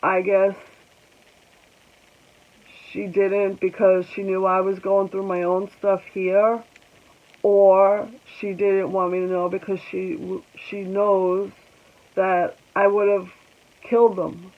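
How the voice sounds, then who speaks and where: A woman in her forties speaking slowly in a personal vlog, congested, halting, and pausing often. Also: medium pitch, level tone, phone-quality sound. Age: 40s. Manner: halting, reflective, with many pauses and ums. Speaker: vlogger. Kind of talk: personal vlog talking to viewers.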